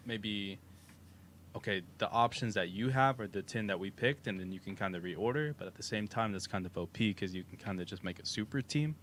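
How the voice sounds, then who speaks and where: A man with a Texan accent co-hosting a livestream, talking in a relaxed, rambling way with many fillers. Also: fairly low pitch, brisk. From Texas. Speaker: co-host of a crypto livestream. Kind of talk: casual livestream chat. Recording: faint background noise.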